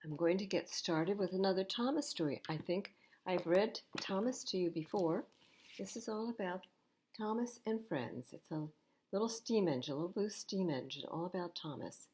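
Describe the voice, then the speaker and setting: An older woman reading children's stories aloud at storytime, expressive and unhurried, with little asides to young listeners. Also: lowish voice, a little hiss or hum. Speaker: library storytime reader.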